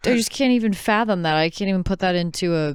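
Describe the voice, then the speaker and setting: A woman with a very clean, smooth voice at a medium pitch, recorded close and dry. She talks casually as a podcast co-host, opinionated and incredulous but careful to soften what she says. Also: quick pace, dry recording.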